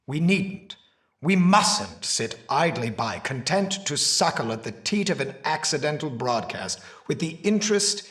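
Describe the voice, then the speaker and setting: Man acting obsessive scientist, grandiose, formal, emphatic, highly animated mid-pitched voice, audio drama. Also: lightly acted. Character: driven, obsessive male scientist, impatient and grandiose.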